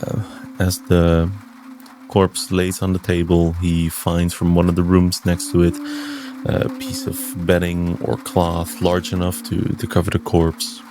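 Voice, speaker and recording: A man playing a tabletop roleplaying game, describing his character's actions in a deliberate, halting way. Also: low voice, steady tone, noisy background. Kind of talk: improvised third-person narration of his character.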